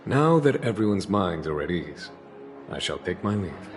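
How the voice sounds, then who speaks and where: An actor voicing a poised, gracious man who presides over negotiations and speaks in a smooth, courteous way. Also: sits low, very animated, moderate pace. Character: poised, gracious male host of talks. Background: game music.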